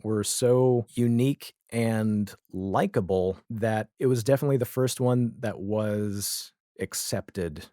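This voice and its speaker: A man speaking as a podcast guest in a casual pop-culture discussion, with halting asides as he lists things. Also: lowish voice, dark tone, dry recording, studio quality.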